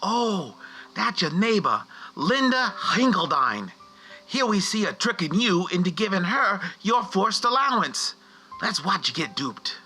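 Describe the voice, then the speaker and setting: Cheeky Hanukkah spirit, very sharp forceful voice, lively swooping pitch, brash teasing delivery, comic radio play. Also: male voice, a little slow, faint music behind, exaggerated voice.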